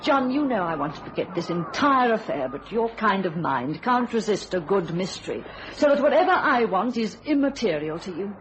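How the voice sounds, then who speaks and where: Actress as a guarded wife, medium-pitched. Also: female voice, big pitch swings, normal speed, few pauses, slight background noise, natural voice, poor audio. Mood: cool, bitter. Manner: well-spoken, restrained. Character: cool, guarded, troubled well-bred wife. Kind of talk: radio thriller acting.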